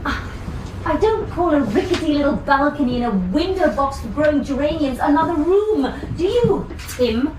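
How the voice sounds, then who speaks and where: A woman's voice: high voice, expressive, normal speed, noisy background. An actress playing a skeptical young woman househunting with her partner, sarcastic and scornful, in a radio drama.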